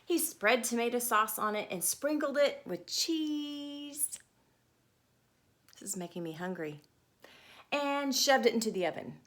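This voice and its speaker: A woman storytime teacher reading a picture book to children, expressive and deliberate, with chatty asides and questions. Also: a little high.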